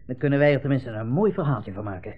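An actor playing a breezy, patronising army captain at a refugee centre, in 1960s radio drama style. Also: male voice, medium pitch, lively intonation, fast, breathy edge. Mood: glib, breezy. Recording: muffled 1960s radio broadcast recording.

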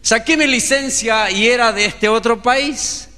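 A man's voice: extremely high pitch, a little slow, sharp tone, in a large hall. A male pastor with a Chilean accent preaching a sermon and acting out the dialogue of a story. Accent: Chilean Spanish.

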